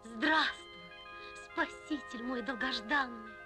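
An actress playing a beautiful young maiden, coquettish and devoted, in a folk-tale cartoon. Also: female voice, fairly high pitch, slow pace. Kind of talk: animated film dialogue. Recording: loud music over old film soundtrack.

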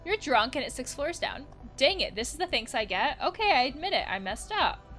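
A woman's voice: sits high, big pitch swings, fairly quick, over music. A woman voicing a worried, protective wolf that embodies anxiety in a video game, pleading and alarmist.

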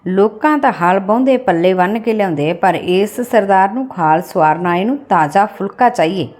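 A woman's voice: medium pitch, expressive, normal speed, darkish tone, natural voice. A woman narrator voicing a spiteful, sarcastic village wife in a story, taunting and scolding. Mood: angry sarcasm. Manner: sarcastic, nagging.

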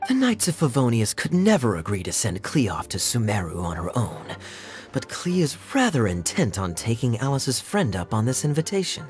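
Sly young knight, smooth, wry, drawling, lively intonation, anime game character, music behind. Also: male voice, medium pitch, moderate pace. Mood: measured, persuasive. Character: suave, teasing young male knight, sly and relaxed. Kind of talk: anime-style game voice acting.

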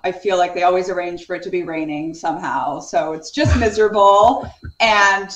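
A woman's voice: lowish voice, moderate pace, echoey room, rough recording. A woman in her thirties, an author talking earnestly and reflectively as a guest on a video call from her home study. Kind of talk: conversational interview.

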